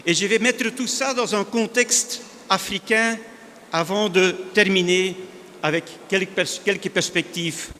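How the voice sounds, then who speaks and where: A man from northern Belgium with a Flemish accent, a native Dutch speaker, giving a measured conference talk with frequent restarts. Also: high voice, bright, forceful tone, a little hiss or hum. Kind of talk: conference presentation. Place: large conference hall. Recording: reverberant hall, faint ambience.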